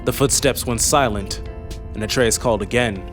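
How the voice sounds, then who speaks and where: A man's voice: medium pitch, normal speed. A man reading scripted fantasy narration as an audiobook narrator. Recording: faint music under the voice.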